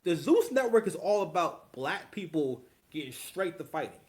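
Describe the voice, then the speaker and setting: A man hosting a casual reaction commentary, rambling and slangy, with animated swings in delivery. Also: on the high side, normal speed. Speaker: commentary host.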